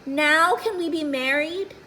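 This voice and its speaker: A woman voicing a small, eager, impatient storybook worm who longs to be married, in an excited, pleading, childlike manner. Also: high-pitched, measured pace, slight background noise, pitched above the performer's own voice. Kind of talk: children's picture-book character reading.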